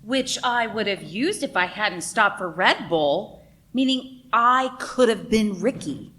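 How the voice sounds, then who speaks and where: A flippant young-at-work radio staffer in a mystery drama. Her voice is mid-pitched and bright, her delivery dry. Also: animated, takes it slowly. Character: flippant, dry female radio station coworker. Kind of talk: radio play dialogue.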